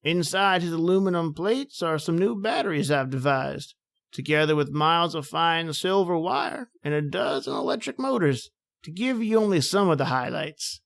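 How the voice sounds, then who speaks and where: A man's voice: fairly high pitch, animated, moderate pace, gravelly, fairly bright tone. A man playing a genial, proud old inventor professor in a radio drama, theatrical and avuncular. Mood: proud, expansive.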